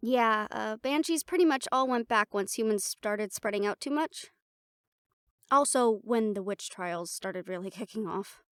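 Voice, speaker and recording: A woman voicing a playful, chatty half-siren, half-banshee in an intimate audio roleplay, talking casually and haltingly to a listener. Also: mid-range voice, fairly bright tone, clear, resonant tone, no room sound, studio quality, close to an everyday voice. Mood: wistful, chatty.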